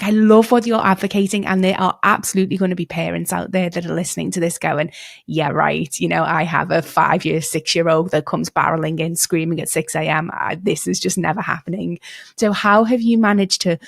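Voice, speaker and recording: A woman's voice: on the low side, creaky, no echo, clean studio sound. A woman hosting a podcast, interviewing in an enthusiastic, affirming way and often saying "like" and "you know". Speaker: podcast host. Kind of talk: conversational interviewing.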